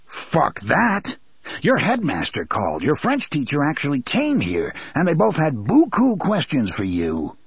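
A man's voice: a little high, wildly swooping pitch, a little slow, slightly rough, no room sound, lo-fi sound, full character voice. Man doing a snarling bully of a dad. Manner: snarling, profane. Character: blustering bullying middle-aged father.

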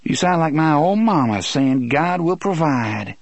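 A feisty woman performed by a male audiobook reader, pitched high with big swings. She is truculent and sharp-tongued, talking in a sassy, combative, down-home way. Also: animated, measured pace, no echo.

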